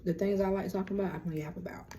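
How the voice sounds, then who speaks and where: A young woman with a deep voice, hosting a rambling, casual lunchtime chat on her vlog. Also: fairly even intonation, very fast, warm tone, slight background noise. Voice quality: low, deep voice. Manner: chatty, rambling, self-described yapper. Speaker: vlog host.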